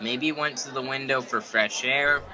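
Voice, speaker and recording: A man's voice: mid-range voice, fairly even intonation, a little slow, sharp tone, some chatter behind. A young man acting an insistent, wisecracking, nosy newspaper reporter in a radio suspense play. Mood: pressing, skeptical. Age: young adult.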